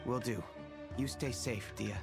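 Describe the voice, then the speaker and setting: An actor playing a laid-back male mercenary informant who talks in a relaxed, joking way. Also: medium pitch, a little slow, creaky, over loud music. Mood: easygoing. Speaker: game character.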